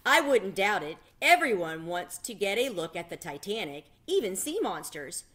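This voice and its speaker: A woman's voice: mid-range voice, sing-song, moderate pace, a bit bright. A woman voicing a fun-loving, teasing aunt of twenty-two in a storybook.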